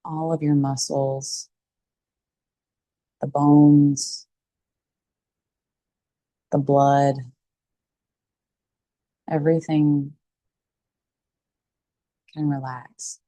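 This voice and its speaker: A woman teaching a guided meditation in a calm, soothing, unhurried way with pauses between phrases. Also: low-pitched, no room sound, rough recording.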